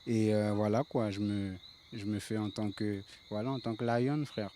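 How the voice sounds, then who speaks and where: A man in his late thirties, originally from the Paris suburbs, talks about his music in a calm, monotone voice full of fillers. A faint background noise runs under the recording. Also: on the low side, normal speed. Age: 30s. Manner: laid-back, many fillers, flat delivery. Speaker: reggae singer guest. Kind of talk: casual interview.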